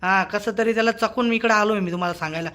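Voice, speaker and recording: Someone doing a nervous cartoon snitch, bright sharp voice, eager, defensive, repeats stuff. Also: medium pitch, close to an everyday voice. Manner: hurried, repetitive, defensive. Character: nervous gossipy gang informant Shivlal, eager, excuse-making. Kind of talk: comic Marathi cartoon dialogue.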